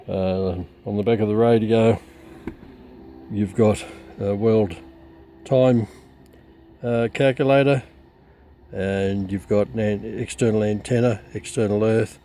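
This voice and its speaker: A man giving a halting, matter-of-fact, unscripted demonstration of gear as a hobbyist. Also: on the low side, mellow, a little hiss or hum. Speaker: radio hobbyist presenter.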